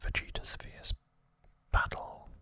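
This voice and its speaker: A man whispers an ASMR recap at a slow pace. The whisper is breathy and very sharp, with no voiced tone. He moves along haltingly, pausing between short phrases. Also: no echo. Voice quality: breathy, unvoiced whisper throughout. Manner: soft, unhurried, halting delivery with pauses. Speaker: ASMR host.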